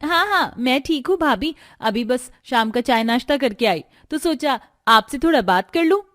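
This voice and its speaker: A woman's voice: high voice, expressive, normal speed, a bit bright. A woman voicing a chatty, affectionate young married woman in a cartoon family story. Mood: cheerful, eager. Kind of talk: Hindi moral-story cartoon dialogue.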